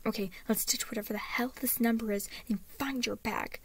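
A woman voicing an anxious but sensible young female friend in a story, in a hushed, questioning manner. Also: fairly high pitch, steady tone, fairly quick, husky. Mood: urgent reasoning.

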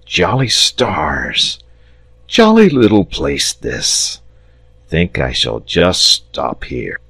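A man's voice: low voice, lively intonation, takes it slowly, a bit bright, dry recording, heavily acted. A man voicing a big, jovial, lazy and self-satisfied storybook dragon, with a drawling, chummy, pompous manner. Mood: drowsy, content. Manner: drawling, pompous, chummy old-fashioned British phrasing. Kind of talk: storybook character voice.

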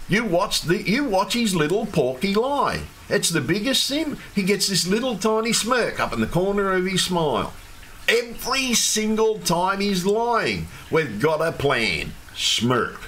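A man, hoarse and losing his voice, ranting in an agitated, repetitive livestream monologue with some swearing. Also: on the high side, fairly bright tone. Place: home room with fish tank. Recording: faint background hum from fish tank.

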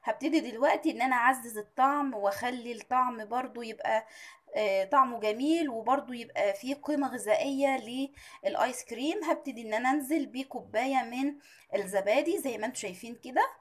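Chatty Egyptian woman with a tired voice. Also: a little high. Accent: Egyptian Arabic. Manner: chatty, filled with drawn-out "aah" hesitations. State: tired, slightly strained voice. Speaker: cooking channel host. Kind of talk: home cooking tutorial voice-over.

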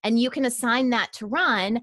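A woman's voice: fairly high pitch, moderate pace, fairly bright tone, no room sound. A woman explains things informally and emphatically, in an encouraging, conversational way. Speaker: podcast network director and presenter. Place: small home room, indoors. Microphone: studio condenser mic with pop filter, close up.

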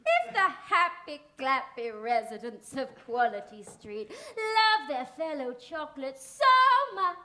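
Woman acting a scheming panto villainess, very high commanding voice, slow delivery, noisy theatre recording. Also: sing-song, bright, forceful tone, full character voice. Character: ranting pantomime villainess, bossy, wounded and scheming. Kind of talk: broad stage pantomime acting. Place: theatre stage before an audience.

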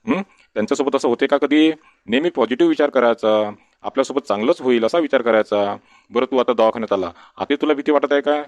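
A man's voice: mid-range voice, no room sound, close to an everyday voice. A man playing a village doctor in a comic cartoon, coaxing and colloquial. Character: male village doctor, patient but easily exasperated.

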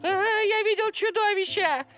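A woman voicing a timid, excitable young pterosaur robot in a children's cartoon dub. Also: very high-pitched, a little slow. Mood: frightened. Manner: excitable, dramatic. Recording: music behind the voice.

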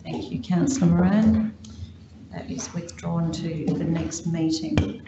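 Brisk woman chairing a council meeting. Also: on the low side, dull audio. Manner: brisk procedural. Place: large formal council chamber. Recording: echoey chamber with some noise.

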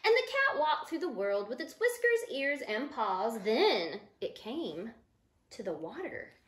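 A woman's voice: fairly high pitch, sing-song, measured pace, a bit bright. A woman in her thirties reads a children's picture book aloud expressively, explaining it and putting questions to children. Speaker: storytime reader, mother. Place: living room couch at home, indoors. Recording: roomy echo of a living room.

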